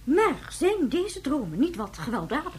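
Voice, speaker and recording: Imperious, very high-voiced woman official. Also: very animated, moderate pace, a little mellow, a little hiss or hum. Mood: shocked, questioning. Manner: commanding, exclamatory, insistent. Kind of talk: radio drama acting.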